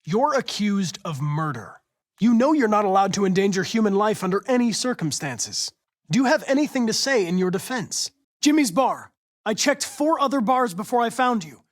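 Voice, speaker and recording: A man's voice: high-pitched, lively intonation, normal speed. An American man playing a polite, analytical young android detective in a video game, earnest and determined, with a precise, formal delivery. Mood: measured, analytical.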